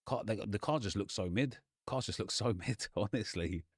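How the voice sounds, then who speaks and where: A young man, a gaming YouTuber, chatting and ranting casually in a slightly hoarse voice. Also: lowish voice, no echo, clean studio sound. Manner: chatty, ranting, quick asides.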